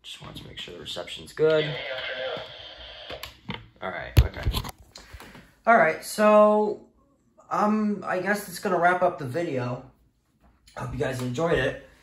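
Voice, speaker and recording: A man's voice: a little high, big pitch swings, unhurried, long pauses, background noise. A young American man talking casually and off the cuff, rambling hesitantly and repeating himself as he shows things to the camera. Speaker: hobbyist video maker. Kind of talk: casual unscripted show-and-tell. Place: small indoor room at home. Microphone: phone camera held by speaker. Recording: echoey room, handheld camera.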